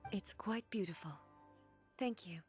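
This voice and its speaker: A woman voicing a dignified immortal female ruler in a video game, speaking in a measured, formal, reflective way with a soft, breathy voice. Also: medium pitch, faint music behind, close to an everyday voice. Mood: composed, formal. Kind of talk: scripted video game dialogue.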